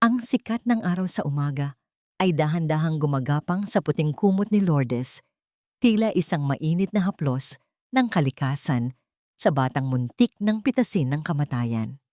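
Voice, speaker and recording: A woman's voice: deep voice, dark tone, dry recording, clean studio sound. A woman narrating a melodrama audiobook in a dramatic, emotive storytelling manner.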